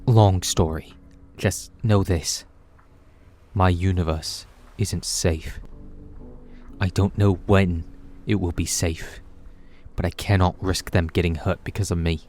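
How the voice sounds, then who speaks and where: A man's voice: low-pitched, fairly even intonation, slow pace, darkish tone, a little hiss or hum. A man playing a grave, secretive alien time traveller in an audio drama, solemn and imploring. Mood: earnest pleading.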